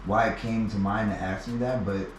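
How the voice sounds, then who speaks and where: A man as an interview guest, telling a personal story in a halting way with many restarts and repeated words. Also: on the low side, steady tone, a little hiss or hum. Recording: echoey room, mic at a distance.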